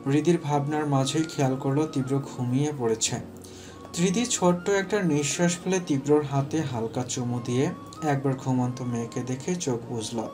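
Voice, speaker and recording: A man's voice: mid-range voice, moderate pace, music in the background. A man narrating a scripted romantic story as its storyteller.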